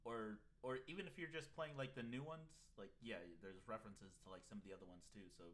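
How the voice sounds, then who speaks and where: A man's voice: fairly low pitch, quick pace, a bit bright. A man co-hosting a casual talk stream, laid-back and teasing. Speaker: co-host running the stream. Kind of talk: casual chat.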